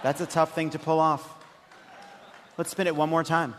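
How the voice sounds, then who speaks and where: A man's voice: on the high side, level tone, moderate pace, a faint crowd. A man hosting a live political comedy panel with sarcastic, profane, emphatic banter. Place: stage in a live venue. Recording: echoey hall, noisy live stage sound.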